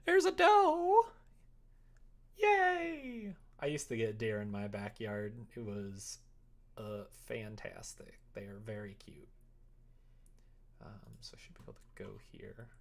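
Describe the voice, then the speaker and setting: A man's voice: on the low side, sing-song, slow pace, vocal fry, long pauses. A man streaming casually as he codes, thinking aloud and muttering to himself in a wry way. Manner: wry, muttering to self while working. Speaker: live streamer developing a visual novel. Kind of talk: casual coding livestream chat, thinking aloud.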